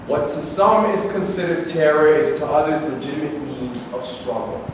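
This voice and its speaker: A man's voice: fairly high pitch, moderate pace, lightly acted. A man acting a defiant advocate of his people's cause in a staged reading, with an emphatic, declamatory delivery. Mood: grimly insistent. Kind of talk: staged reading of a two-man debate play. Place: reverberant room at a live staged reading. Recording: echoey hall from far off, noisy.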